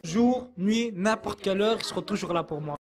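A man's voice: high voice, moderate pace, crowd noise. A young man answering interview questions earnestly into a handheld mic in a youth centre room, with some fillers and restarts. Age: young adult. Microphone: handheld microphone.

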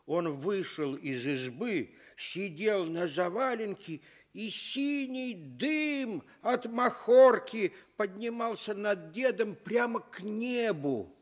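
A man narrating a children's tale for radio with deliberate, expressive phrasing. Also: high voice. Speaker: storyteller.

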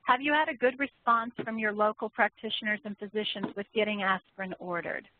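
A woman's voice: medium pitch, steady tone, fairly quick, no echo. Woman webinar moderator, panel Q&A delivery, dry close sound with narrow phone bandwidth. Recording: muffled phone-like line.

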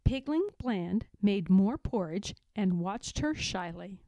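A woman reading a children's story aloud in an expressive, deliberate storytelling manner. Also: mid-range voice, no room sound. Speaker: storybook reader. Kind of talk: children's read-aloud narration.

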